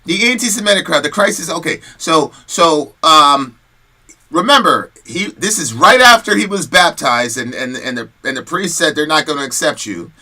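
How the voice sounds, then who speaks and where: A man giving casual, slangy commentary and telling personal stories in an animated, conversational way, as the host of a show. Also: fairly high pitch, normal speed.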